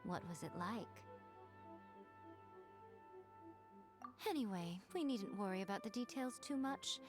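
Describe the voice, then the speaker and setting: A woman's voice: mid-range voice, expressive, a little fast, close to an everyday voice. A woman voicing a sly, self-assured shrine maiden in an anime video game, coy, teasing and condescending. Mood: nonchalant. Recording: game music behind the voice.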